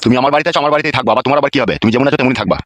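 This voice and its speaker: A man plays an exasperated old cartoon father, head of the household. He grumbles very quickly. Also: mid-range voice, fairly bright tone, no echo, natural voice. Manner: gruffly dismissive, crude, exasperated. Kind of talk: comic Bengali cartoon dialogue.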